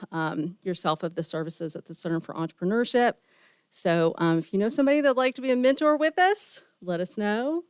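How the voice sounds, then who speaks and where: A woman, slightly gravelly from a spring cold, speaks in a friendly, chatty way while answering questions at a county meeting. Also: lowish voice, no echo, clean studio sound. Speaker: small business center presenter. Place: county board meeting room.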